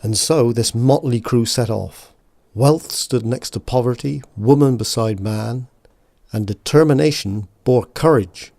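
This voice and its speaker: An actor playing a solemn male narrator, telling a heroic tale in measured radio play style. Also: mid-range voice, vocal fry, warm tone, dry recording.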